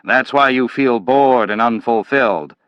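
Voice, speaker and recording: A man's voice: on the low side, expressive, a little slow, dry recording, dull audio. A man voicing a brusque, intense head of a time-travel agency, speaking emphatically and impatiently in a story reading.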